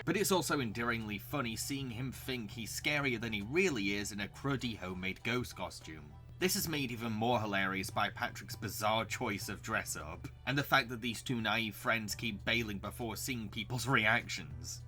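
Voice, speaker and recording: A man's voice: on the low side, moderate pace, few pauses, pushed and bright, soft background music. A young man giving enthusiastic scripted review narration with casual asides, as a video host.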